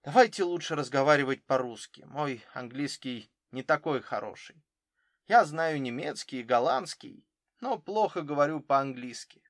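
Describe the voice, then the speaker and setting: A man's voice: medium pitch, expressive, moderate pace, dry recording, clean studio sound, lightly acted. A man voicing a careful, literal German footballer in his thirties, giving witness answers in an audiobook. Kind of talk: audiobook character dialogue.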